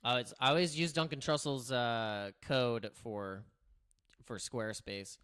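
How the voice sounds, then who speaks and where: A man with a naturally raspy voice hosting a casual livestream, talking loosely with lots of restarts and filler words into a streaming microphone. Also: mid-range voice, steady tone, measured pace, a bit bright. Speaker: crypto livestream host. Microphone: streaming microphone through streaming software.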